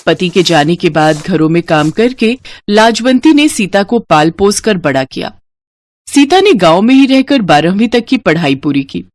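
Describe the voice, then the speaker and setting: A woman's voice: mid-range voice, very animated, normal speed, dry recording. A woman reading scripted narration as the narrator of a story.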